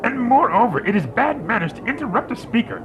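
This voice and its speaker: A man's voice: very high voice, big pitch swings, a little fast, full character voice. A man playing a fussy, indignant married possum in a radio play, complaining in a pompous, wordy way. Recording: noisy live radio recording.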